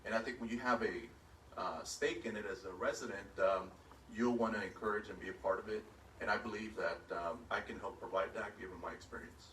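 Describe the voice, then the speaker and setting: A male candidate giving a measured, hesitant answer at a forum in a large hall. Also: on the low side, fairly even intonation, bright, forceful tone. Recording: echoey hall from far off, faint hum.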